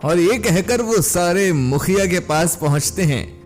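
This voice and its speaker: A man with a high voice narrates a children's cartoon story. He takes his time over the lines, with faint music underneath. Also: animated, a little slow.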